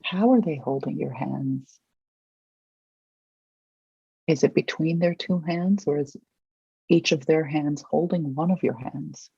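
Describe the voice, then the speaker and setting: Guide for a visualization exercise: very slow, mellow and husky, gentle prompting, long pauses, dry dull recording. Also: mid-range voice, no echo.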